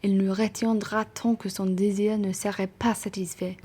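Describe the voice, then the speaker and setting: A woman playing a polite, reasonable, well-bred traveller in a radio play, with formal, measured wording. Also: mid-range voice, little pitch movement, mellow, a little hiss or hum, lightly acted.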